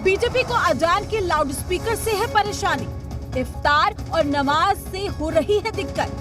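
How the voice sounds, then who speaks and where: A woman's voice: very high voice, unhurried, over music. A woman delivering a scripted television news report voice-over.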